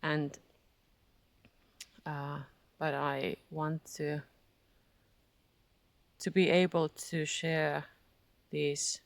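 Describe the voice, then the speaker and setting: A Finnish-accented speaker, native in Finnish, gives a hesitant, reflective spiritual vlog, often searching for words and laughing softly. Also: medium pitch, flat delivery, drawn-out words, long pauses, dry recording. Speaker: spiritual vlogger. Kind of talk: casual reflective monologue.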